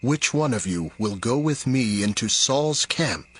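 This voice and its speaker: An actor playing a bold, devout young warrior in an audio drama, with drawn-out, declamatory delivery. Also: male voice, medium pitch, expressive, husky. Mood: hushed, resolute.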